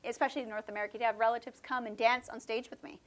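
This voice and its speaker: A woman's voice: fairly high pitch, steady tone, rapid-fire. A woman from Canada's West Coast, a musician answering interview questions in a thoughtful, chatty way with many fillers. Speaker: musician guest. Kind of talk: relaxed conversational interview answers.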